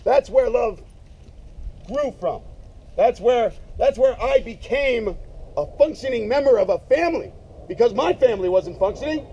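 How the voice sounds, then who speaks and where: A man speaking loudly and emphatically in a casual way, giving a eulogy at a memorial gathering. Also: very high voice, animated, moderate pace, slightly rough. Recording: dull lo-fi sound, faint noise.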